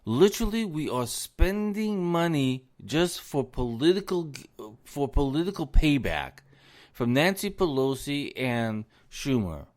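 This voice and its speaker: A man's voice: fairly high pitch, big pitch swings, a little slow, a little mellow. A man hosting a solo podcast, losing his voice, talking in an indignant tone and repeating words as he rants. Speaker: podcast host.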